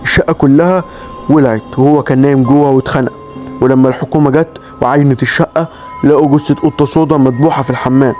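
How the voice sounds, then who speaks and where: A man with an Egyptian Arabic accent voicing a weary older male flat broker who talks in a resigned, fatherly way. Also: mid-range voice, natural voice.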